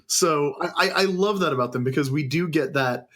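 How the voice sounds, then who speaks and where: A man's voice: fairly high pitch, fairly quick, a bit bright. Wry, chatty male podcast co-host. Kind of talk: casual chat.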